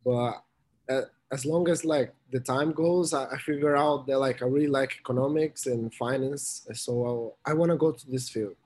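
Young Brazilian man, halting English. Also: medium pitch, fairly even intonation, a little mellow. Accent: Brazilian Portuguese. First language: Portuguese. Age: young adult. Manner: halting, searching for words. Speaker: interviewee, college swimmer. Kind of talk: casual interview talk.

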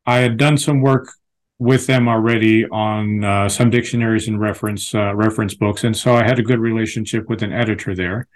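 An American man, a lexicographer and author, giving thoughtful, measured interview answers with frequent restarts. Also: on the low side, steady tone. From United States.

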